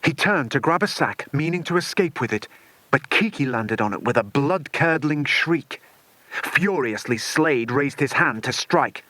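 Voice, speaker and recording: A man reads a children's adventure audiobook in a dramatic narrating style. His mid-pitched voice is very animated in pitch, and the recording is dry and close. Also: measured pace, no echo, poor audio.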